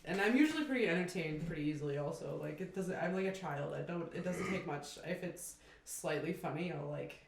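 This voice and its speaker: A woman's voice: low voice, expressive, a little fast, slight background noise. Rambling woman in her twenties, echoey room. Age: 20s. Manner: rambling, laughs often, many "like" fillers. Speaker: co-host. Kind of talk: casual chat. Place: shared house, indoors. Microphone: table microphone.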